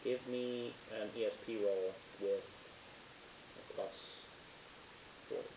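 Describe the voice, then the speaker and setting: A man improvising narration as a tabletop horror game master, casual and halting as he searches for words. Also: on the low side, fairly even intonation. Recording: roomy, dull, hissy home recording.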